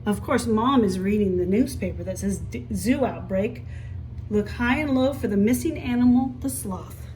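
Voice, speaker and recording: A woman reading a picture book aloud as a story time teacher, expressively, pausing to explain and ask young children simple questions. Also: medium pitch, expressive, warm tone. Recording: echoey room, distant mic, faint hiss.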